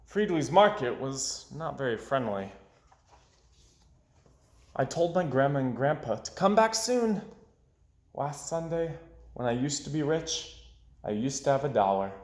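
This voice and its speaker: A man's voice: medium pitch, close to an everyday voice. Guy doing a dramatic little kid from a storybook, rueful n emphatic, roomy echo. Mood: glum. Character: young boy, dramatic, aggrieved, forever losing his money. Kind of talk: expressive children's storybook read-aloud.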